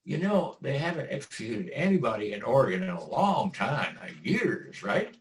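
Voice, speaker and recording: An actor playing an aging veteran stage actor, wry, profane and theatrical. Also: male voice, medium pitch, big pitch swings, normal speed, natural voice, low-quality recording. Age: older. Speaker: stage actor in a play reading. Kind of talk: stage play reading.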